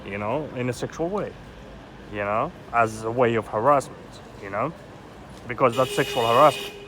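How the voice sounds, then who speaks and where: A man's voice: medium pitch, normal speed. A man in his thirties from Egypt, ranting in an agitated, rambling way with lots of swearing and filler words. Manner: agitated, rambling, swears often, heavy "you know" fillers. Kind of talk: rambling personal vlog monologue. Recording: loud outdoor street traffic noise.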